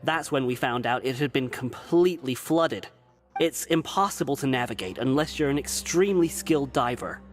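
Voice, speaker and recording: A man's voice: medium pitch, lively intonation, moderate pace, natural voice. An actor playing a loyal, earnest prisoner explaining things to his companions, with game music behind. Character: loyal male prisoner crew member, earnest. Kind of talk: game voice acting.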